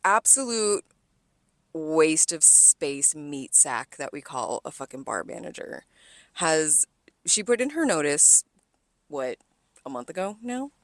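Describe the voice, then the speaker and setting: An American woman co-hosting a casual podcast, telling stories in an animated, sweary way and acting out conversations. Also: deep voice, slow, dry recording. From California, United States. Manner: animated, sweary, quotes conversations in acted voices. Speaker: podcast co-host, restaurant server. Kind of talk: casual storytelling chat.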